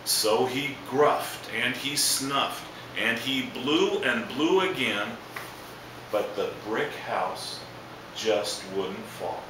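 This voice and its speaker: A man's voice: mid-range voice, drawn-out words. A man reading a children's storybook aloud as a storyteller, adding asides. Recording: echoey, distant, noisy room recording.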